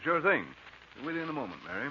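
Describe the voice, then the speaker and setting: An actor playing an anxious, devoted husband in a 1940s radio drama, nervous and hesitant, on a dull, noisy recording. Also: male voice, fairly high pitch, sing-song, quick pace. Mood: worried. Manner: nervous, hesitant, plain-spoken.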